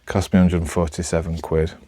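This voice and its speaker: Low-voiced Northern English man, halting. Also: flat delivery, warm tone, slight background noise. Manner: hesitant, halting, self-deprecating. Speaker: amateur vlogger. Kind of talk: casual rambling chat to camera.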